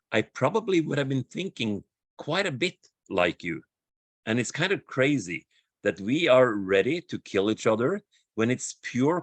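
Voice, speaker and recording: A man speaking as a panelist in a webinar, slow and measured, repeating phrases for emphasis over a video call. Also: lowish voice, dry recording. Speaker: dialogue practitioner, panelist. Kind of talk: webinar talk, reflective.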